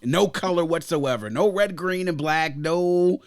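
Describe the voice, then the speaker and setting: A man's voice: fairly high pitch, a little slow, clear, resonant tone. A man hosting a music review podcast, giving opinions in an emphatic, conversational way and repeating phrases for emphasis. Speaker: podcast host.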